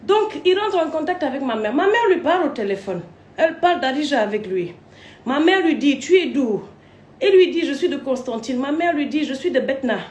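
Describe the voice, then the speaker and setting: A woman's voice: high voice, expressive, a little fast, darkish tone. A woman from Côte d'Ivoire ranting in a rambling, crude way, swearing as she tells a long personal story. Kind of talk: rambling live rant. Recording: roomy echo.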